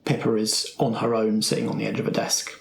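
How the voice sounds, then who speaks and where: A man's voice: fairly low pitch, completely flat delivery, fairly quick, creaky, a little hiss or hum. Man narrating as game master, roomy sound. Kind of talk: improvised tabletop game narration.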